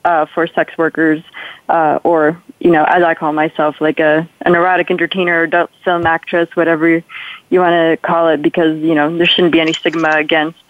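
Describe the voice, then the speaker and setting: A woman's voice: low-pitched, little pitch movement, a little fast. A young adult woman with a slight Polish accent, chatting casually and ramblingly as a guest in a radio interview, with lots of filler phrases. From Poland. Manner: chatty, rambling, peppered with "like" and "you know". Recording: tinny, phone-like radio call-in line.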